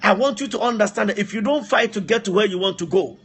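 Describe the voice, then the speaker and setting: A man preaches in a high, husky voice. He delivers his sermon with passion, hammering phrases through repetition and pressing the listener with rhetorical questions. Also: fast talker, fairly bright tone. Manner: emphatic, repeats phrases, poses rhetorical questions. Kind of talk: impassioned sermon.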